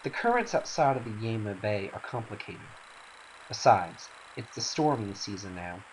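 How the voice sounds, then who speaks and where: A man's voice: fairly low pitch, lively intonation, normal speed, lightly acted, poor audio. A man voicing a wary seafarer in a game scene, blunt and cautionary toward outsiders. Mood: wary, scolding. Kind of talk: dramatic reading of game cutscene dialogue. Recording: faint hiss.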